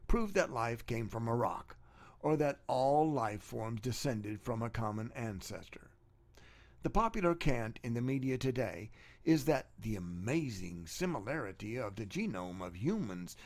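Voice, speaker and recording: A man reading an argumentative text aloud as narrator, with emphatic, sarcastic delivery and rhetorical questioning. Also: medium pitch. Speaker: narrator reading own book chapter.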